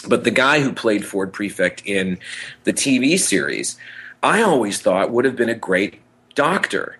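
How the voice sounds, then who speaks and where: Male podcast co-host, lower register, casual and enthusiastic, rambling delivery with halting repetitions. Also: very animated, a bit bright, breathy edge. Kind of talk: casual chat about pop culture.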